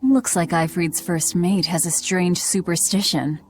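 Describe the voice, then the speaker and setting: A woman's voice: on the low side, fairly even intonation, unhurried, slight background noise, close to an everyday voice. A woman playing a cynical, bossy young female demon in a video game, curt and sardonic. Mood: dry. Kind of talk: video game cutscene acting.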